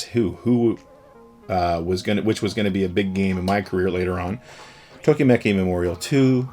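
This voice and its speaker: Rambling low-voiced man, faint music under him. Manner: relaxed, rambling, with frequent fillers. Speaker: host reading an old gaming magazine. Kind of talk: casual commentary.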